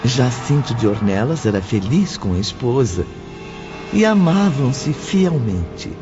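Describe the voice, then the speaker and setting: A man narrating a scripted, dramatic audiobook in a solemn, deliberate way. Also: medium pitch, very animated. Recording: dull lo-fi audio under loud music. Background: music.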